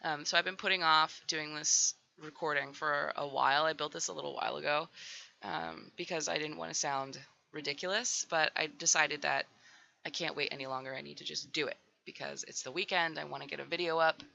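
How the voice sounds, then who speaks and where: Raspy woman with heavy vocal fry. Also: deep voice, steady tone, fairly quick, bright, forceful tone, lo-fi sound. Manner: rambling, full of "um" and "like". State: getting over a cold, just woke up. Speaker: Sims builder doing a voiceover. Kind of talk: casual voiceover chat.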